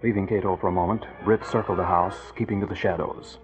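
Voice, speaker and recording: A man narrating a 1940s radio drama in a dramatic, measured style. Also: low voice, steady tone. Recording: muffled old radio recording. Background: music.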